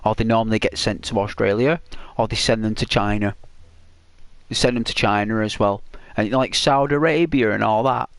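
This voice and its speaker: A man's voice: fairly low pitch, moderate pace, dry recording. A man with a British accent chatting casually while playing a video game, rambling with lots of "like" and restarts. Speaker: gaming channel host.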